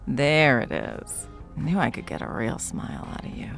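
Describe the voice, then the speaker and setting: A woman playing a confident, flirtatious, protective bartender in an intimate ASMR roleplay, teasing and unhurried. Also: very deep voice, creaky, exaggerated voice. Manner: teasing, unhurried, soft chuckles, dropping toward whispers. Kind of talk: ASMR roleplay, intimate one-sided conversation.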